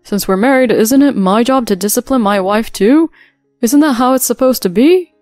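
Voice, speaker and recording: A selfish young husband snaps out cold, demanding lines, as a woman reads him aloud. He sounds a touch louder and harder than the narrating voice, in a dry close recording with faint music underneath. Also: medium pitch, moderate pace, no room sound, lightly acted. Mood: defensive. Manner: dismissive and demanding.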